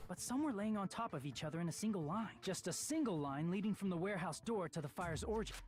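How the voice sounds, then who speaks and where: A man's voice: sits high, lively intonation, quick pace. An actor playing a serious, analytical young male student in an anime game dub. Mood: analytical, explaining. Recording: game music behind the voice.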